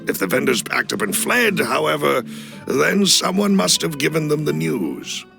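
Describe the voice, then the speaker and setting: A man playing a loyal, cautious butler in a video game cutscene, speaking in a formal, measured, deferential way with a gravelly voice. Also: a little high, lively intonation, bright, forceful tone, heavily acted. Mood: measured, analytical. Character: loyal, cautious male butler of a crime boss family. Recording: clean studio voice over music. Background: background music.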